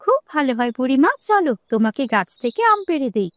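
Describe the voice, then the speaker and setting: An eager, helpful village girl from a fairy-tale cartoon, acted by a woman. Her voice is very high and youthful, and she talks in a brisk, reassuring way. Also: very animated.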